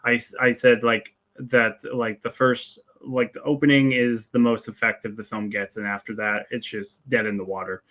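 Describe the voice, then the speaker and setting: A man with a bright, forward voice talks through a casual movie review. His delivery is hesitant and halting, like someone working out an opinion as he goes. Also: medium pitch, steady tone. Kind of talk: casual film review chat.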